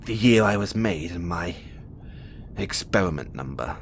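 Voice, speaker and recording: Man acting a cocky, lively rebel prisoner emperor. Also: deep voice, a little hiss or hum. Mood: reluctant, weary. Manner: teasing, flirtatious, halting, with sighs and chuckles. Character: cocky, playful, self-proclaimed emperor, a rebellious imprisoned male experiment. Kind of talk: audio roleplay acting addressed to the listener.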